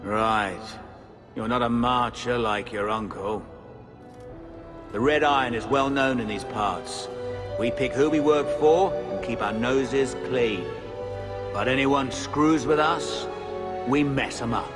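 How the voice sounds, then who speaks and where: An actor playing a gruff, crude male mercenary captain who talks bluntly and swears freely. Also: medium pitch, slow pace, close to an everyday voice. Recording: game audio with music behind.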